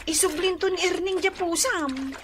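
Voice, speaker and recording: An actress in a radio drama playing a cheerful, chatty young daughter with an Ilocano accent. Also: female voice, extremely high pitch, moderate pace, a little hiss or hum. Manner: giggly, chatty.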